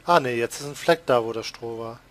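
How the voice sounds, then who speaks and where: Man, Palatinate accent, casual gaming chat, laughs a lot, mid pitch. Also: fairly quick, a bit bright. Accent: Palatinate German. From Palatinate, Germany. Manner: chatty, laughs often, self-mocking. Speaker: let's play host.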